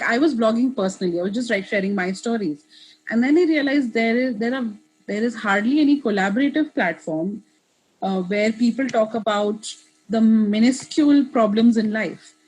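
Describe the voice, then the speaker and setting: A woman's voice: medium pitch, a little mellow, poor audio. A woman in her thirties, a guest in an interview, talking in a reflective way with many restarts and asides. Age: 30s. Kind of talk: casual interview conversation.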